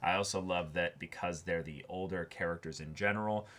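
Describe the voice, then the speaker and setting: A man co-hosting a casual discussion show, talking in an animated way, repeating phrases for emphasis and halting as he thinks aloud. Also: low voice. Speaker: co-host of an anime reaction show. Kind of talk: casual discussion of an anime episode.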